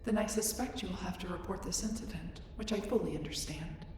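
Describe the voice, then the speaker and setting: Audio drama casting: woman as reserved alien scientist, female, curious, formal and measured; very echoey, far from the mic. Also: on the low side, lightly acted. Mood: formal, apologetic. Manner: formal, measured, precise wording. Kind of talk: scripted roleplay audio drama. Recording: roomy echo, distant, clear background noise.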